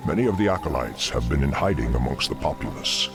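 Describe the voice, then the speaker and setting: An actor playing a sinister, commanding demon dreadlord in a fantasy game, slow and formal in his menace. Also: animated. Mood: menacing, smug. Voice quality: very deep, gravelly. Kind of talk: fantasy video game acting. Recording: music under the voice.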